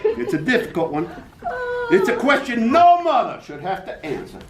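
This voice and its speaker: A man's voice: very high voice, huge pitch swings, normal speed, exaggerated voice. A man playing a drawling, grandstanding southern lawyer. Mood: oily. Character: smooth-talking southern male prosecutor. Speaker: prosecuting lawyer in a play reading. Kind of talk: amateur play reading. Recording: echoey room with loud noise.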